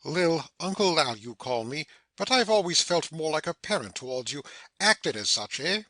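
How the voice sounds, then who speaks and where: A man performs an older, excitable uncle figure from a comic audio play, doting and effusive. The voice is bright and fairly high, in a dry recording, with fond stammers and repeated phrases. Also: normal speed, no echo, heavily acted. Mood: fond, flustered. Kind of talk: stage comedy audio play acting.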